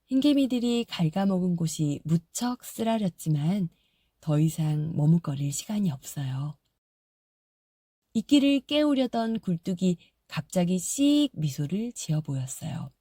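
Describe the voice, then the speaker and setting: A woman narrating a fairy-tale audiobook in a soft, gentle storytelling manner. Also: lowish voice, very animated, measured pace, dry recording, studio quality.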